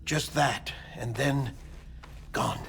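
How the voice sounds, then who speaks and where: An earnest, reassuring male colleague in a cinematic sci-fi game. His voice sits in an ordinary middle register and he explains in short, clipped phrases. Clear ambient noise runs behind the dialogue. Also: medium pitch, extremely slow, fairly bright tone, heavily acted. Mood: calm explaining. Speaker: game character actor.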